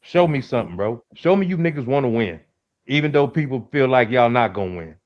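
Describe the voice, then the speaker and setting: A man's voice: mid-range voice, animated, brisk. A man co-hosting a sports stream, emphatic and hyped, throwing in bro and dog constantly. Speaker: co-host on a sports panel. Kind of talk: casual sports talk. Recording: muffled, lo-fi line.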